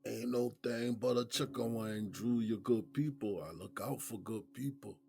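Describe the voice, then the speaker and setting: An American man playing a streetwise, seasoned inmate in an audio drama, with a husky voice and folksy, slangy talk. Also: medium pitch, steady tone, normal speed, mellow, lightly acted. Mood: easygoing, friendly. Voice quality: husky, gravelly. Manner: folksy street slang, probing rhetorical questions. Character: streetwise, seasoned male prison inmate mentoring a younger friend.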